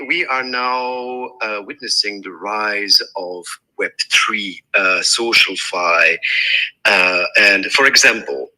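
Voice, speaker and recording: A man with a French accent, a native French speaker, talking in a halting way with many restarts in a casual online group chat. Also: on the low side, pushed and bright, low-quality recording. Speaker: guest speaker. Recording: online audio space.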